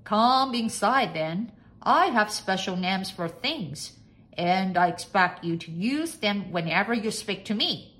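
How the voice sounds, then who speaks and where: A bossy, eccentric lord from a storybook, played by a woman. The delivery is unhurried and pompous, with emphatic commands and swooping intonation. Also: mid-range voice, lively intonation, fairly bright tone. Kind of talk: storybook character acting.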